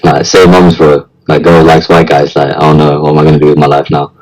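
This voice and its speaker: A man's voice: extremely low pitch, level tone, brisk, a little mellow. A young man, chatting casually as a guest, hesitant and rambling with lots of slang. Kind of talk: casual chat.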